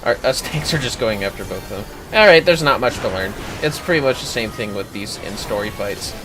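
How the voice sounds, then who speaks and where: Animated man streaming a game over music. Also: fairly low pitch, a little fast, poor audio. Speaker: livestream host, gamer. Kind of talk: casual game commentary and explanation.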